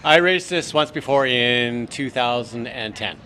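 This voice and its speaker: A man's voice: medium pitch, unhurried, bright, forceful tone. Wry man with dry wit. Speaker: guest, marathon runner. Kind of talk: casual interview chat. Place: outdoors on campus steps.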